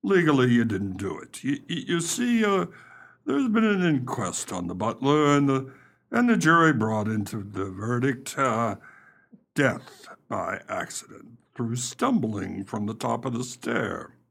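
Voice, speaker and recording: A man's voice: mid-range voice, very animated, unhurried, vocal fry, fairly bright tone, exaggerated voice. A man playing a dutiful, slightly flustered chief constable, hesitant and deferential, in a radio play.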